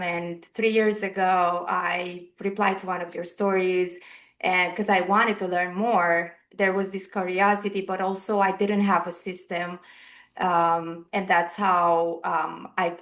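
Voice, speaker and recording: A woman's voice: on the low side, a bit bright. A woman in her thirties from Romania, speaking English with a Romanian accent as a podcast guest, earnestly telling her personal story.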